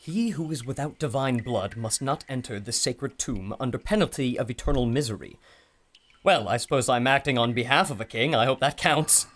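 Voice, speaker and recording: An actor playing an earnest, cautious archaeologist in a pulp adventure audio drama, bookish, polite and excitable. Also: male voice, mid-range voice, moderate pace, a little hiss or hum, lightly acted. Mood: absorbed, excited.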